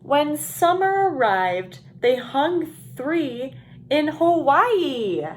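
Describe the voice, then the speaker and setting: A woman teacher reading a picture book aloud to young children in a deliberate, expressive storytelling way. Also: high-pitched, a little hiss or hum.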